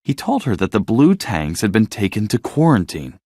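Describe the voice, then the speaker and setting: Male storybook narrator, highly varied intonation, dry close sound, expressive delivery for young listeners. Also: moderate pace, dry recording. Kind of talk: scripted bedtime story narration with acted dialogue.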